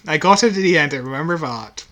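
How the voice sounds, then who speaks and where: A man co-hosting a podcast in casual chat, speaking haltingly and often giggling. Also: a little high, expressive, a crowd in the background. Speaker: podcast co-host. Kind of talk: casual chat reviewing audio dramas.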